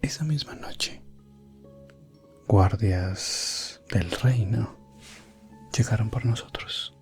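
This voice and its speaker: A man playing a calm, courteous elf prince adventurer in a soft, gentle ASMR fantasy roleplay, slipping in and out of whispers. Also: fairly low pitch, extremely slow, soft background music. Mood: calm, recounting. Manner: hushed, gentle, drifting in and out of whisper.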